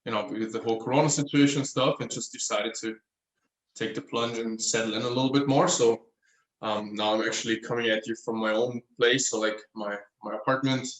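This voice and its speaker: An Austrian man in his thirties speaks English with his German-accented lilt, pausing thoughtfully to find the right words. His voice is mid-pitched. It rings in a roomy, echoey room at home. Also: steady tone. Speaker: guest craftsman. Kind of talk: casual interview chat.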